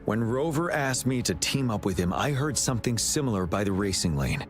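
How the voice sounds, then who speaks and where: A composed, commanding leader with a fairly low voice. He speaks in a measured, steady way. Game music plays behind him. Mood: calm. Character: calm, commanding male general.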